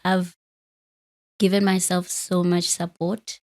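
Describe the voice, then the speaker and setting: A woman's voice: lowish voice, little pitch movement, slow pace, a little mellow. A woman in her late thirties, a podcast host talking openly and with some self-deprecating humor in a conversational interview. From Free State, South Africa. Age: late 30s. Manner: open, self-deprecating, laughs easily.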